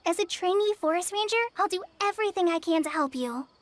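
A gentle young forest ranger. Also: female voice, very high-pitched, lively intonation, fast. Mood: kind. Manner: gentle, encouraging, soft-spoken. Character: young woman trainee forest ranger, kind and earnest. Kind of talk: game cutscene dialogue. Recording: game soundtrack music behind.

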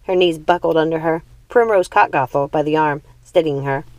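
A woman's voice: low-pitched, moderate pace, dry recording. A woman reading a story aloud as an audiobook narrator, in scripted narration. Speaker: audiobook reader.

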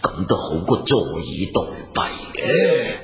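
A rich, troubled father in his fifties in a radio play, speaking with a Hong Kong Cantonese accent. His voice is lowish and very slow. He sighs and hesitates, heavy with worry. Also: big pitch swings, heavily acted. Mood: courteous, uneasy. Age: 50s. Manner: sighing, hesitant. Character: worried wealthy father in his fifties, sighing, anxious. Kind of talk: radio drama acting.

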